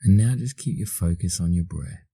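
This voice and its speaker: Very deep, dark-voiced Australian man guiding meditation. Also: slightly rough, no echo, studio quality. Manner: slow, soothing, with long pauses. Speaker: guided meditation instructor. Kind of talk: guided meditation narration.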